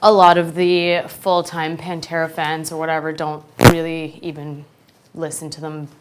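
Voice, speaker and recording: A woman's voice: deep voice, flat delivery, normal speed, heavy background noise. A woman guest co-host in casual chat, hesitant, filling her speech with "um" and "like". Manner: hesitant, many "um" and "like".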